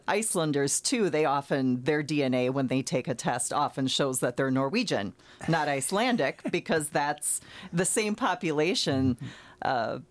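A woman explaining history in a measured, knowledgeable way on a call-in radio show. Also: sits low, dry recording. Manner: knowledgeable, measured, self-qualifying.

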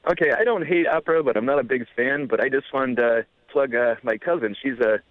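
A man's voice: mid-range voice, brisk, no room sound. Man from Illinois, radio caller, tinny phone line, dry sound, casual and hesitant. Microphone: telephone.

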